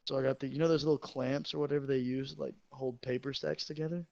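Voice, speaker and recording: A teenage guy with a creaky, fry-heavy voice tells rambling stories in a crude, joking way. He talks casually, like he is chatting with a friend. The sound is dull and lo-fi, from a dry headset mic. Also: mid-range voice, flat delivery, fast talker, a little mellow, no echo. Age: teenager, high schooler. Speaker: high school football player telling stories. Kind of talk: casual anecdote telling among friends.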